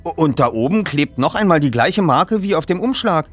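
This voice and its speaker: A man's voice: fairly high pitch, animated, moderate pace, slightly rough, soft background music, pitched above the performer's own voice. A man voicing an observant, curious teenage boy detective from a storybook, with a direct, inquiring manner.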